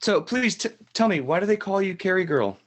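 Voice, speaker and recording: A man's voice: high-pitched, expressive, brisk. Man playing a chatty small-town game show host. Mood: welcoming. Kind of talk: improvised game show parody.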